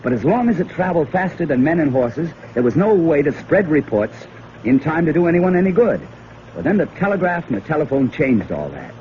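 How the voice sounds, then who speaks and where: A man's voice: a little high, sing-song, a little fast. A man with an American accent acting an earnest, folksy small-town weatherman in a 1940s radio drama. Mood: explaining. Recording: muffled old radio recording with faint noise.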